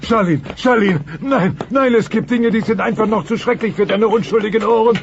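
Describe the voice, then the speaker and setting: A man's voice: high-pitched, fairly quick, creaky. A man playing a blustering, panicky dinosaur father in a German cartoon audio play, stammering and repeating himself melodramatically. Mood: panicked. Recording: muffled, noisy old cassette transfer.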